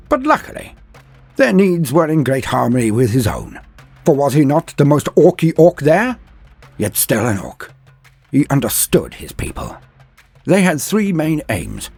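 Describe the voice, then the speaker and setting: A man narrating a comedic fan-fiction tale in a mock-epic style, pausing theatrically before punchline words, with faint music behind. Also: lowish voice, a little mellow, slightly rough. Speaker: storyteller.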